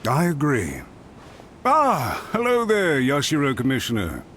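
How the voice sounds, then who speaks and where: An eager, lively clan retainer. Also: male voice, medium pitch, measured pace, a little hiss or hum. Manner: hospitable, eager. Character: adult male clan retainer planning a wedding.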